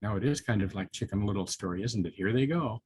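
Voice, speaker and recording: An older man reading a story aloud to young children, playful and chatty, with expressive asides and questions to his listeners. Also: fairly low pitch, fast talker, slight background noise.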